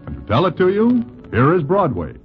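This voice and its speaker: A man's voice: fairly high pitch, huge pitch swings, fairly quick, husky. A man announcing formally in a declamatory 1940s radio style. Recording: muffled vintage 1940s radio recording. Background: loud music.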